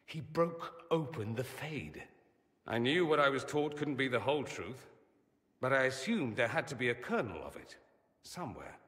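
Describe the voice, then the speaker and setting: A man's voice: medium pitch, sing-song, moderate pace, fairly bright tone, long reverb tail. An actor playing a witty, vain and charming young mage nobleman in video game dialogue, teasing and theatrical in manner. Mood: brooding. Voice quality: arch, ironic wit. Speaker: mage companion.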